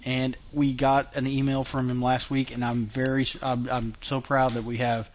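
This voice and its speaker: A man's voice: medium pitch, flat delivery, moderate pace, slight background noise. A man hosting a podcast in casual chat, chatty with many fillers and restarts, laughing often. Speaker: podcast host.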